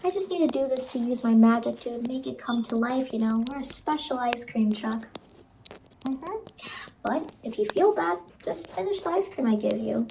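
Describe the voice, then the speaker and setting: A sinister clown lady acted by a woman speaks in a high, soft voice, luring and falsely reassuring the listener. It is horror ASMR roleplay, recorded close to the mic with clear noise behind the voice. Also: animated, normal speed, low-quality recording. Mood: coaxing, eerie. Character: sinister, coaxing female ice cream truck clown. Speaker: ASMR performer. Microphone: close ASMR microphone.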